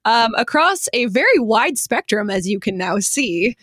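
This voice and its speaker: High-voiced woman hosting a casual podcast. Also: very animated, a little slow, a bit bright, no room sound, clean studio sound. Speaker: podcast host. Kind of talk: casual chat and show wrap-up.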